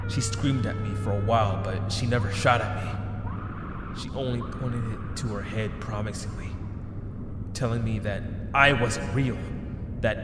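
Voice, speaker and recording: Halting, echoing haunted vigilante. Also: male voice, medium pitch, noisy background. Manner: halting, stammering, sighing. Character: shaken male vigilante, haunted, guilt-ridden investigator. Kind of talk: dramatic audio drama acting. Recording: echoey long reverb with music behind.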